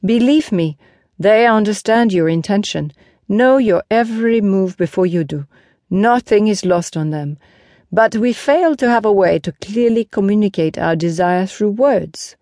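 A woman voicing a calm, gently reproving male horse tamer in a storybook, measured, earnest and instructive. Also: mid-range voice, no echo, clean studio sound, voice pushed up, close to an everyday voice.

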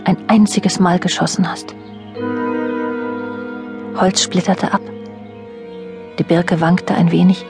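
An actress playing a reflective, introspective narrator in a radio play, speaking in a measured, subdued way. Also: female voice, fairly low pitch, flat delivery, music in the background. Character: reflective female narrator addressing an absent man. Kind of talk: scripted audio drama narration.

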